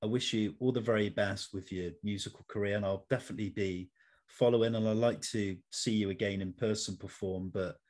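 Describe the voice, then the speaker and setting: A middle-aged man hosting a conversational interview in a measured, polite, slightly formal manner. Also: fairly low pitch, steady tone, darkish tone. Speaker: interview host.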